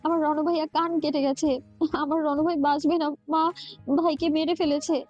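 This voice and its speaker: Woman doing scared timid little girl, high voice, kinda dull audio, soft music, love story drama. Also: flat delivery, moderate pace, natural voice. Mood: crying. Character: timid, tearful little girl, devoted and fearful.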